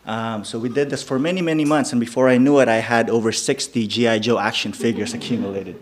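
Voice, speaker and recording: A man's voice: mid-range voice, steady tone, quick pace. A man from the Philippines whose first language is Filipino, telling personal stories to a live audience and often checking in with "okay?". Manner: keeps checking in with the crowd with "okay?". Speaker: speaker. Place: venue before a live audience.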